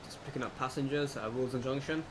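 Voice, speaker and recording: A man's voice: mid-range voice, fast talker. Man commentating on a game over background noise. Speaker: gaming video host. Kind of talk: casual let's play commentary. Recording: clear background noise, steady hum.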